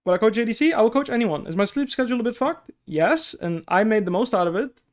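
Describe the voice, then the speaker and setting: Young man, dutch native, high-pitched, streaming coach, headset, keeps saying okay. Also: lively intonation, fast talker. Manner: explains step by step, many okays and restarts. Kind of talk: casual stream talk, answering chat. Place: small room indoors at a desk.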